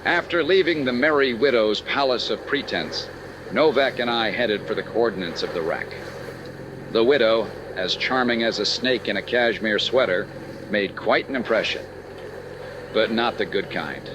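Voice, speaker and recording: An actor playing a wry, polite and businesslike insurance investigator in an old-time radio detective drama. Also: male voice, lowish voice, normal speed, background noise, close to an everyday voice. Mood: brisk, wry. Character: upbeat, courteous, wry male insurance investigator.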